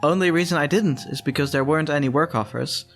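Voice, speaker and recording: A man's voice: fairly high pitch, fast, faint music behind, close to an everyday voice. A man voicing a modest young farm lad in a visual novel, speaking politely and hesitantly. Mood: hesitant, subdued. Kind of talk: visual novel character voice reading.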